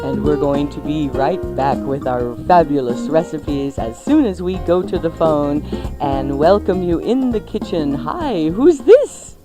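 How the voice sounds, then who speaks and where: Slow, low-pitched woman hosting radio. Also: lively intonation, over music. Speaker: radio cooking show co-host. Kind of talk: playful radio show banter. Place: radio studio.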